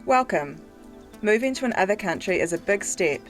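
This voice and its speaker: A woman with a New Zealand accent giving calm, clear scripted narration for a government information video. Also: mid-range voice, normal speed, soft background music.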